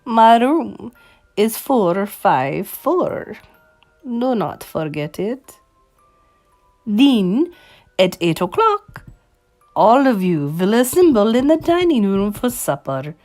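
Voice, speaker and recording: Venomous head witch, storybook villain, commanding, screechy, swooping pitch, slow deliberate delivery. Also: very animated, a little mellow, faint music behind. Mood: bossy, scornful. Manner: screeching, commanding, stilted formal phrasing. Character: imperious, venomous female Grand High Witch, child-hating leader.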